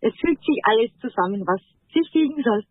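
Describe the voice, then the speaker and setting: A woman's voice: a little high, lively intonation, a little fast. A female singer talking casually as a guest over the phone, chatty and open. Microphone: telephone. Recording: tinny phone line.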